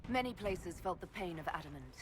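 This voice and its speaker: A woman's voice: on the low side, lively intonation, a little fast, over music, natural voice. An actress playing a stern female leader in a video game who speaks firmly and directly. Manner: firm, direct.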